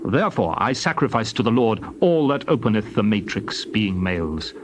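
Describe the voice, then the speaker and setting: A man's voice: on the low side, big pitch swings, normal speed, noisy background. Man solemnly reading scripture, faint ambience. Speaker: scripture reader.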